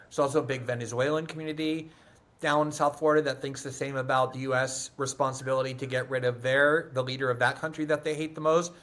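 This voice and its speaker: A man's voice: mid-range voice, little pitch movement, fast talker, fairly bright tone. A man from the United States hosting a political interview, asking long, articulate, probing questions. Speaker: host.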